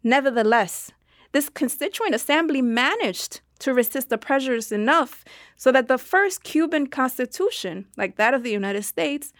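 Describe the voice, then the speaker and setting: A woman with a fairly high voice delivers scripted, translated narration in a dry, close recording. She speaks formally and explains things in a measured, orderly way, as in a historical analysis. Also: no room sound. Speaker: interview guest's translation voice-over.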